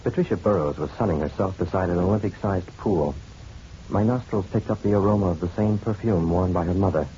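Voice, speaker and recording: Deep-voiced wry private eye, acted by a man. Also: a little fast, warm tone, a little hiss or hum, lightly acted. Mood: brooding narration. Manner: wry, flirtatious, colloquial. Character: wry hard-boiled male private detective. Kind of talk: old-time radio detective drama, first-person narration and dialogue. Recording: dull muffled radio drama recording.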